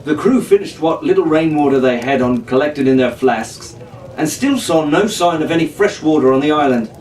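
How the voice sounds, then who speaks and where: A man's voice: on the high side, lively intonation, normal speed, darkish tone. Echoey, distant male storyteller. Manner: theatrical, performed in a pirate captain persona. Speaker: storyteller reading an adventure novel aloud. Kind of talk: scripted narration, children's adventure story. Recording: echoey room, distant mic, faint hiss.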